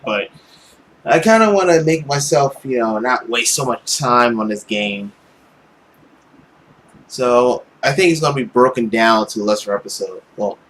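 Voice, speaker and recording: A man's voice: medium pitch, fairly bright tone, slight background noise. A man talking casually as the host of a gaming let's play. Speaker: host of a visual novel let's play series.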